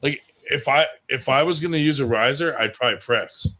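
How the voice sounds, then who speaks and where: A man's voice: mid-range voice, fairly quick, sharp tone. Laid-back man chatting about arm wrestling. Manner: loose, rambling, swears freely. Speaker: arm wrestler on a livestream show. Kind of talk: casual chat.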